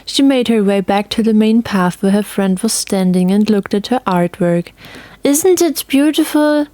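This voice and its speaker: A woman's voice: mid-range voice, steady tone, moderate pace, warm tone, no room sound. A woman reading scripted narration for an audio story as its narrator.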